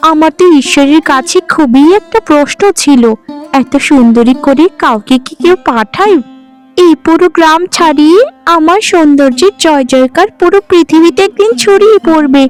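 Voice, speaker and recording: A woman voicing a vain, spoiled young stepsister in a cartoon folk tale, gloating and affected. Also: very high voice. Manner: gloating, affected, self-admiring. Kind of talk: melodramatic cartoon voice acting.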